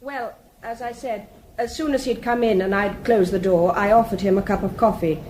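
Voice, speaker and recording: A woman's voice: medium pitch, moderate pace, darkish tone, slight background noise, lightly acted, low-quality recording. An actress playing a firm, guarded British woman giving precise testimony as the accused in a radio drama. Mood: controlled. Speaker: defendant testifying in court.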